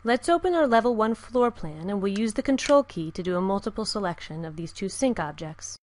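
Calm woman narrating a software tutorial. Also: mid-range voice, a little fast. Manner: calm, even, step-by-step instruction. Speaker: software instructor. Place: voice-over with screen recording.

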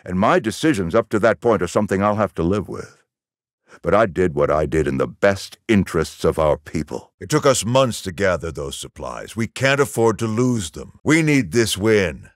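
An actor playing a stern, middle-aged American general in a video game, giving orders with clipped military authority. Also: male voice, low voice, lively intonation, moderate pace, dry recording, clean studio sound. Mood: grave, commanding. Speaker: military commander. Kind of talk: video game mission briefing dialogue.

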